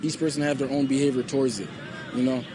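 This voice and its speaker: A man's voice: medium pitch, steady tone, fast talker, noisy background. A young man from Liberia, interviewed outdoors at a protest, speaking with passion and often repeating himself and asking "you know?". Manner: impassioned, repetitive, often asks "you know?". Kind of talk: street interview. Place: outdoors at a night protest near a mall.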